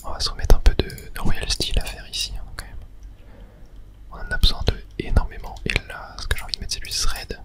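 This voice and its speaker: A gaming streamer speaks entirely in a soft, breathy whisper. The delivery is ASMR gameplay commentary, murmured as thinking aloud, with no voiced tone. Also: brisk.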